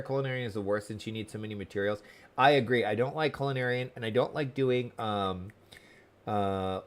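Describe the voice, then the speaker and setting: A man's voice: medium pitch, fairly bright tone. A man chatting casually on a livestream, commenting on the game he plays and talking to his viewers. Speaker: livestreamer playing an online game.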